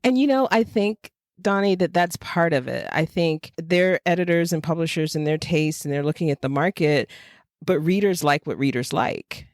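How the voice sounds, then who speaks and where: A woman with an American accent talking casually and emphatically as a podcast co-host, with animated delivery. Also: lowish voice, normal speed, no echo, studio quality. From United States, Jacksonville, Florida.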